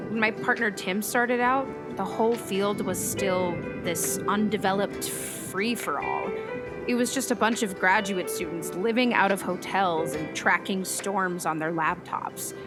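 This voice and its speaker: A woman's voice: medium pitch, natural voice. A woman playing an earnest, caring meteorologist in a naturalistic radio play, conversational and thoughtful.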